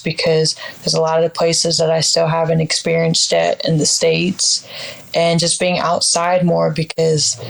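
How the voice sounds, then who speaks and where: A young woman in her twenties talks things over as a podcast guest, her voice sitting on the low side with plenty of gravelly fry. She rambles in an upbeat, reflective way, full of fillers. The tone stays casual and open. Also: poor audio. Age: 20s. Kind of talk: casual interview chat.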